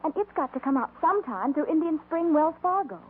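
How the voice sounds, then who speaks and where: A woman's voice: high-pitched, expressive, brisk. A woman playing a worried, earnest American frontier wife in a 1950s radio western, pleading as she speaks. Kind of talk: 1950s radio drama acting. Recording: muffled, noisy old radio recording.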